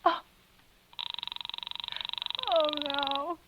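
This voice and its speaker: Vintage radio suspense: very high-voiced, fretful, imperious wife, nervous invalid, breathless and tearful; dull lo-fi recording. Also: female voice, level tone, extremely slow, heavy background noise. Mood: despairing. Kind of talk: 1940s radio suspense acting.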